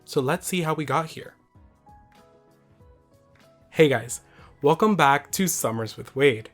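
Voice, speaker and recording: Slightly raspy man narrating a video essay. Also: fairly high pitch, lively intonation, takes it slowly, faint music behind.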